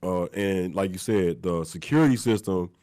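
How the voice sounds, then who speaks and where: A man's voice: deep voice, moderate pace, warm tone. A man co-hosting a sports talk show, sounding stuffy and nasal from a cold, emphatic and chatty. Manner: emphatic, repeats "you know what I'm saying".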